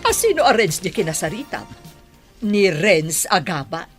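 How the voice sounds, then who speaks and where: A woman's voice: lowish voice, very animated, soft background music. A woman playing an anxious, nagging older village mother in an Ilocano radio drama, with an Ilocano accent and plenty of exclamations. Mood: nosy, surprised. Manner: nagging, exclamatory, quick to interject. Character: anxious, gossipy older village mother fretting over her daughter.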